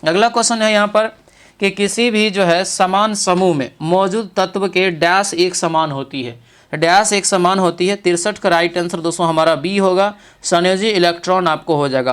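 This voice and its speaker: A man's voice: high voice, fairly bright tone, clean tone. A man teaching an exam practice lesson, reading each question aloud and then confirming the answer.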